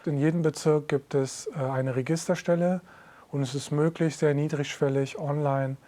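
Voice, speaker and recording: A man leading an anti-discrimination project, giving a measured interview statement with steady lists. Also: on the high side. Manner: steady listing, measured delivery.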